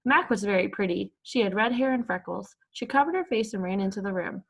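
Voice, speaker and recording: A woman's voice: medium pitch, expressive, brisk, creaky. Woman reading a children's story. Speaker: third grade teacher reading aloud. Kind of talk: expressive children's story read-aloud.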